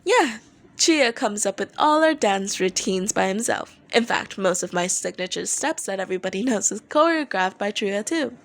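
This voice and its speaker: A woman's voice: mid-range voice, lively intonation, moderate pace, lightly acted. A woman playing a playful, teasing young male rock band leader with a breezy, casual manner in an audio story. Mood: proud.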